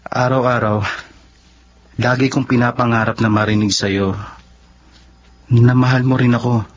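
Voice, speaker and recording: A man's voice: mid-range voice, steady tone, unhurried, lightly acted. A Filipino man playing an earnest, devoted young suitor in a radio drama, with a pleading, sentimental delivery. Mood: pleading, lovesick. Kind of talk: Tagalog radio drama acting. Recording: dull lo-fi radio drama, noisy ambience.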